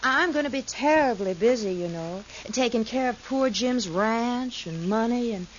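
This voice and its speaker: Lady doing a greedy sassy wild west wife, american, high voice, fake sweet, muffled old 50s radio. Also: expressive, normal speed, lightly acted. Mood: smugly sweet. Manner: coy, mock-innocent, sassy. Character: brazen, sly, greedy frontier wife, falsely sweet. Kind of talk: 1950s radio western acting. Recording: dull, hissy 1950s radio recording.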